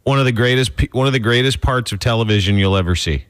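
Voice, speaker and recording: A man co-hosting a casual morning radio show from a studio, rambling excitedly and laughing as he tells a story. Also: medium pitch, quick pace, clean tone. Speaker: radio co-host. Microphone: studio microphone.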